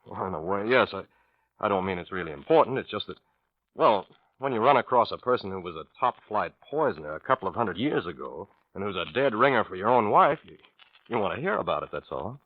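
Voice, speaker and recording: A low-voiced, uneasy husband in a vintage radio play. He speaks haltingly, suspicious but apologetic, on a dull old recording. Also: big pitch swings, no room sound. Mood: hesitant, uneasy. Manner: hesitant, halting. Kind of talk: 1940s American radio drama acting.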